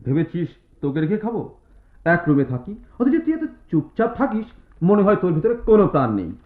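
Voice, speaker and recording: Brash young roommate, radio drama, bossy teasing, animated highish voice, declamatory. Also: male voice, a little fast. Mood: chiding. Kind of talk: Bengali radio drama acting. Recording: tinny lo-fi radio play, music behind.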